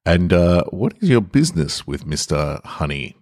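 An officious male security guard from an audio story, read by a man. He questions briskly and by the book. The voice is very low, recorded close and dry. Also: sing-song, darkish tone, dry recording. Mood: brisk questioning.

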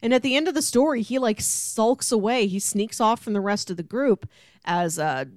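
A woman's voice: a little high, normal speed, no room sound, clean studio sound. A woman hosting a podcast, chatting casually about a book and acting out bits as she retells it. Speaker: podcast host.

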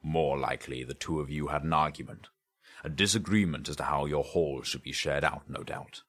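A man's voice: a bass voice, normal speed, fairly bright tone. A man voicing a stern, impatient and sceptical police inspector in an audiobook story, with a brusque, scornful way of questioning. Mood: sceptical, accusing.

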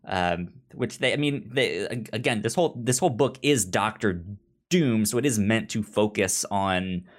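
A man co-hosting a podcast, discussing comics casually, haltingly, often repeating and stuttering over words as he goes. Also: lowish voice, lively intonation. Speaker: podcast co-host.

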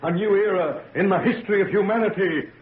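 An actor in an old-time radio drama playing an obsessed young scientist, shaken yet proud, halting and repeating his phrases theatrically. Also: high voice, natural voice. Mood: exultant, grandiose. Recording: tinny, noisy vintage radio recording.

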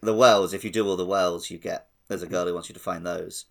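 A man's voice: sits low, steady tone, fairly quick, creaky. A man co-hosting a podcast in casual, enthusiastic gaming discussion. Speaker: podcast host. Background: background music.

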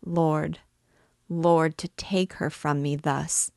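A woman's voice: on the low side, flat delivery, extremely slow, gravelly, no room sound, clean studio sound, heavily acted. A woman voicing a grieving recluse mother who wails and pleads for her lost child. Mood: mournful. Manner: wailing, pleading lament.

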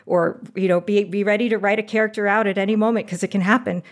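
A woman guest on a podcast interview, talking in a casual, animated way and laughing often as she gives advice. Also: mid-range voice, quick pace. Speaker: podcast guest, audio drama producer.

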